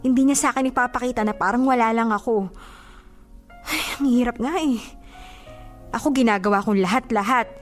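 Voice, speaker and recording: A woman's voice: fairly high pitch, brisk, long pauses, soft background music, lightly acted. An actress playing a jealous, hurt young woman in a Filipino radio drama, with emotional delivery and a Filipino accent. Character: jealous, hurt young woman confiding about her boyfriend.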